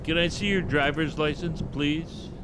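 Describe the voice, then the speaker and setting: An actor playing a brisk, suspicious state trooper questioning a motorist in an old radio drama. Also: male voice, a little high, background noise, close to an everyday voice. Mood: businesslike. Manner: curt, probing, official.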